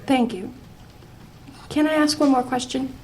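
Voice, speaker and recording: Earnest anxious teenage girl, higher-voiced. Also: a little slow, a little mellow, slight background noise. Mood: probing, uneasy. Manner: earnest, probing, hesitant. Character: earnest, persistent, anxious teenage girl narrating her ghost story. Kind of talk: audio drama acting and first-person narration.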